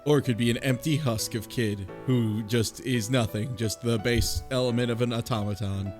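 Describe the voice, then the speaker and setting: A man co-hosts a casual, jokey discussion with an irreverent, sweary way of talking. His pitch is middling for a man, and music plays clearly behind him. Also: fairly even intonation, normal speed. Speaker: book club podcast co-host. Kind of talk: casual chat with comic riffing.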